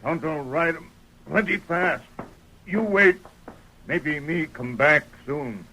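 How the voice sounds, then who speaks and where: An actor playing a stoic, loyal male guide in a radio western, speaking in terse, halting broken English. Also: on the high side, expressive, sharp tone, exaggerated voice. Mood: urgent. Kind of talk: 1940s radio western acting. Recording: noisy old radio recording.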